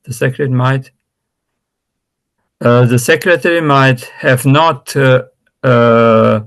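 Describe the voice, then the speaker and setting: A man's voice: mid-range voice, slow pace. A man whose first language is Romanian, practicing English in an online lesson. He speaks hesitantly, with many restarts and fillers. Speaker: student. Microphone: video call.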